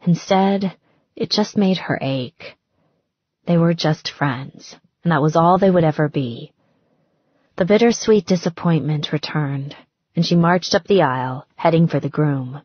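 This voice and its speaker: A woman with a fairly low, husky voice reads scripted fiction. Her storytelling is expressive and measured, and the recording sounds slightly muffled and roomy. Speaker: audiobook narrator. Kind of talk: scripted romance fiction narration.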